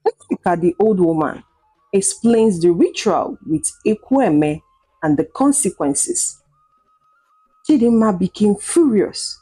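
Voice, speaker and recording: Expressive, unhurried woman storyteller. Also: medium pitch, slightly rough, faint music behind, rough recording. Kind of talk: dramatic scripted folktale narration.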